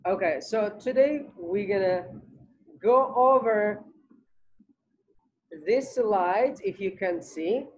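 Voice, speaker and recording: A man's voice: high voice, slow pace, pauses often, poor audio. A young man leads an online English lesson from his home through a laptop mic. He talks loosely, repeating words and filling gaps as he goes. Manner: repeats himself, many fillers. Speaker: English teacher hosting online class. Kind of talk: casual online class talk. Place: home room on video call.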